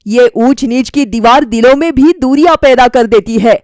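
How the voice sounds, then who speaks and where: A sensible middle-aged father from a cartoon moral tale, acted by a woman. The voice is firm and advising, projected clearly in a dry recording. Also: a little high, moderate pace, a little mellow, no room sound, close to an everyday voice. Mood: admonishing.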